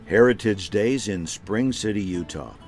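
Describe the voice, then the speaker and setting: A man's voice: fairly low pitch, unhurried. A man narrating a scripted documentary in a folksy, conversational storytelling way. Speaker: narrator and host. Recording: music behind narration.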